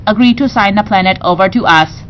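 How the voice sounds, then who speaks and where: A woman's voice: mid-range voice, a little fast, a bit bright, highs cut off, slight background noise, close to an everyday voice. A woman voicing a grumpy, globby green alien from a storybook, demanding and pompous in manner.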